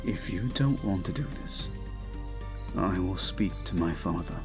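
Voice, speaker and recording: A man playing a devoted, protective young prince in an intimate audio roleplay, speaking gently and somewhat formally to his betrothed. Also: low-pitched, animated, music in the background. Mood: tender, reassuring. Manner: gentle, soothing, measured, slightly formal phrasing.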